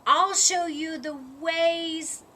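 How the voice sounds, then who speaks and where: Woman as a booming, excitable storybook moose. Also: very slow, slight background noise, full character voice. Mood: coaxing. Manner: booming, emphatic. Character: big excitable male moose, eager party planner.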